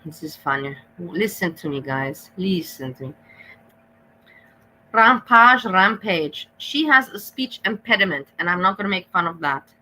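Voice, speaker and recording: A woman's voice: on the low side, animated, unhurried, pushed and bright, slight background noise, poor audio. A woman with an Austrian accent giving a profane, scolding rant while reacting to clips. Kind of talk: ranting reaction commentary.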